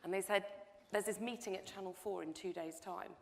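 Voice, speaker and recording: A woman's voice: medium pitch, steady tone, a little fast, vocal fry. A middle-aged woman telling a story on a panel, chatty and full of restarts. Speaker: panel guest, documentary producer. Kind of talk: panel discussion anecdote. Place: lecture hall before an audience. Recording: long hall reverb.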